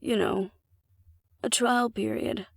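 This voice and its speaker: A woman's voice: fairly high pitch, steady tone, slow, gravelly, no room sound, clean studio sound, full character voice. A woman playing a cocky, flirtatious female alpha werewolf in an intimate audio roleplay, speaking to the listener in a wry, teasing, confident way. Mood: smug, playful bargaining.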